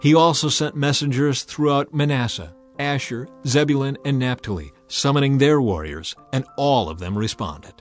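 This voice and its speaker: A man's voice: mid-range voice, moderate pace, few pauses. A man narrating scripture as a scripted, dramatized audio reading. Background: music.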